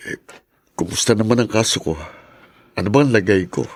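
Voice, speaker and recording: A man with a Filipino accent playing an anguished accused mayor in a radio drama, halting and stammering as he protests his innocence. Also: fairly low pitch, natural voice. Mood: dejected. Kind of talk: Tagalog radio drama acting.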